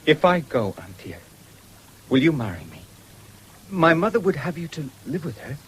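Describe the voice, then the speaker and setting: A man's voice: a little high, big pitch swings, moderate pace, a bit bright, rough recording. A man playing a guarded, intense young farmer in a radio drama. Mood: urgent, unsure. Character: moody, hesitant young farmer pressed to enlist. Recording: 1970s radio broadcast with background noise.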